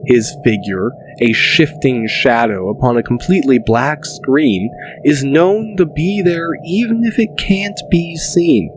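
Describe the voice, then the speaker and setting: A man narrating a scripted audiobook dramatically, in a deliberate, descriptive way. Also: mid-range voice, animated, low-quality recording. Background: music.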